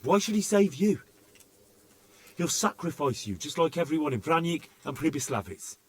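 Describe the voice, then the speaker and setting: An actor playing a young medieval interrogator in a video game, scornful, probing and goading. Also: male voice, a little high, sing-song, moderate pace, no room sound. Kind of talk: dramatic video game acting.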